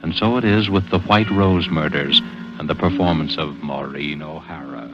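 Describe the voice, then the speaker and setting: An ominous radio storyteller with an extremely deep voice. He narrates a vintage thriller gravely and deliberately, over prominent music on a dull old recording. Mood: grave, formal. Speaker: announcer and narrator. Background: dramatic music.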